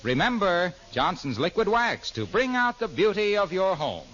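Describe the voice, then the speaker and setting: A man's voice: sits high, very animated, moderate pace, fairly bright tone. A man reading a scripted 1940s radio commercial as an announcer, with polished salesman phrasing. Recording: muffled old radio recording, music behind.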